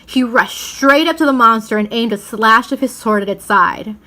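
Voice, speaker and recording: A woman reads a children's novel aloud in an expressive storytelling style, leaning into the dramatic words. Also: fairly high pitch, normal speed. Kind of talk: storybook read-aloud narration.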